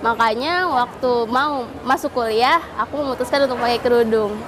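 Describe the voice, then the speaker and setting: High-pitched young woman talking casually, lots of noise around. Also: normal speed. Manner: chatty and informal. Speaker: interviewee, social media strategist. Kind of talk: casual personal testimony.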